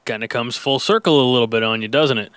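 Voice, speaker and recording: Rambling, halting male interviewer, clear and dry. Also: medium pitch, a bit bright, no room sound. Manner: rambling, halting, many restarts and fillers. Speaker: host. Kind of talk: interview questions.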